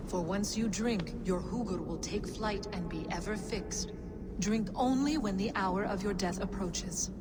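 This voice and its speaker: A solemn, mysterious mystic woman, played by an actress in a game cutscene. She speaks gravely and deliberately at a middling pitch, with very loud music behind her. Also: close to an everyday voice. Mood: grave, knowing. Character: solemn, mysterious female keeper of magical secrets. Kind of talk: video game cutscene acting.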